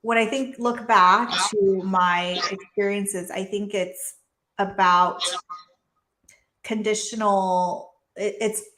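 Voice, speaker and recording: A woman's voice: medium pitch, fairly even intonation, slow pace, pushed and bright. Woman guest from Taiwan, reflective and earnest, speaking on a video call in a roomy, echoey space. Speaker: guest, physician and coach. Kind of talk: conversational interview. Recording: roomy echo.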